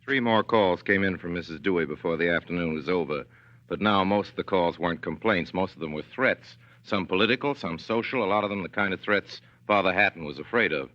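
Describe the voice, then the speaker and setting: Casting: male narrator, deep and forceful, folksy measured delivery, vintage 1940s radio drama. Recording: dull 1940s radio broadcast.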